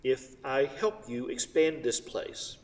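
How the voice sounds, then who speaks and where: A man's voice: mid-range voice, lively intonation, slow, darkish tone. Regretful, moneyed father played by a male actor, controlling yet pleading, stage reading heard from afar in a reverberant hall. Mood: persuasive. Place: theatre hall. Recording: distant mic in echoey hall.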